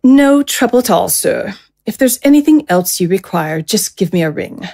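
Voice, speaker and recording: A woman's voice: mid-range voice, animated, moderate pace, vocal fry, darkish tone, slight background noise. Female actor as room service worker, mid-range voice, hesitant, courteous, evasive, deferential, audio fiction. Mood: polite, placating. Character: polite, nervously evasive hotel room service attendant. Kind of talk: dramatic audio fiction acting.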